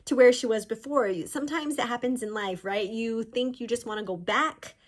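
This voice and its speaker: A woman author talking informally about books, in an animated storytelling way. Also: fairly high pitch, moderate pace. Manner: animated storyteller, voicing characters' thoughts in quotes. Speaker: author discussing own books. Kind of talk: chatty informal book talk.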